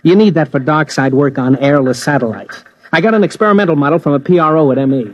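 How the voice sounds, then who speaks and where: An actor playing a brash, wry news reporter in old-time radio drama, casual and hard-boiled. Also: male voice, on the high side, fast talker, lightly acted. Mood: eager, cocky. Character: brash, cocksure male news reporter narrating his exploits. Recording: muffled old radio recording.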